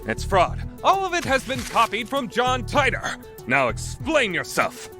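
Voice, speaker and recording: A man's voice: very high voice, huge pitch swings, unhurried, music in the background, exaggerated voice. Man playing a pompous, paranoid young mad scientist. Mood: indignant. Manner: pompous, dramatic. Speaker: amateur voice actor. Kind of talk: dramatic fandub performance with first-person narration.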